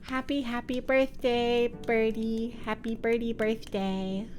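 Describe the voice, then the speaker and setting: A woman's voice: fairly high pitch, little pitch movement, slow, darkish tone, faint music behind. A woman livestreamer reading a visual novel aloud and chatting casually, with a playful, affectionate manner. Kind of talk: casual stream chat and read-aloud narration.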